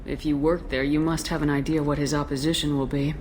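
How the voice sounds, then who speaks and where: An actress playing a resolute space military commander in a video game, direct and businesslike. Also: female voice, low-pitched, little pitch movement, a little fast, darkish tone, a little hiss or hum, lightly acted. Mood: probing, composed. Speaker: game protagonist. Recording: game soundtrack music behind.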